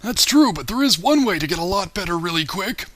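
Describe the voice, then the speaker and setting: A man's voice: sits high, expressive, brisk, fairly bright tone, no echo. A man voicing a senile old blacksmith who gives folksy, rambling advice in a comedic cartoon parody dub. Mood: matter-of-fact advising. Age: older.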